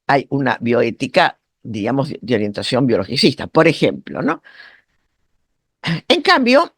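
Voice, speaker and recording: A man giving an academic seminar lecture in a halting, didactic way, his throat sore from allergy. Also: on the high side, no echo, clean studio sound. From Argentina. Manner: didactic, halting, often rephrasing and checking understanding. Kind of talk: academic lecture, spoken off the cuff.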